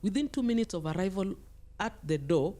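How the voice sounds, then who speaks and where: A woman's voice: mid-range voice, animated, brisk, dark tone. A middle-aged woman speaking as a podcast guest, giving conversational advice emphatically and repeating phrases for stress.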